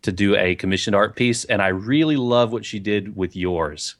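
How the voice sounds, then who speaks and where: Chatty low-voiced man rambling on a podcast. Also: moderate pace. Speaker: podcast co-host. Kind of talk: casual chat. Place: home recording setup on camera. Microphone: desk microphone with pop filter.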